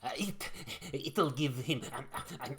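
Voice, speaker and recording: A man playing a muddled, argumentative character in an amateur audio story, creaky-voiced, hesitant and full of questions. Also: medium pitch, animated, slow, fairly bright tone. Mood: musing, hesitant.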